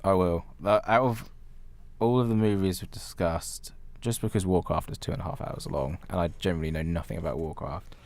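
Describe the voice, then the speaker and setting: A man's voice: sits low, brisk, a little mellow. A man co-hosting a podcast, talking about films in a casual, conversational style. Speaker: podcast co-host. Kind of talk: casual film discussion.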